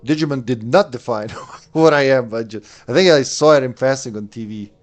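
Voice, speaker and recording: A man's voice: medium pitch, moderate pace. A man in his late thirties giving live commentary on a game, chatting, thinking aloud and answering chat. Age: late 30s. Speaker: streamer.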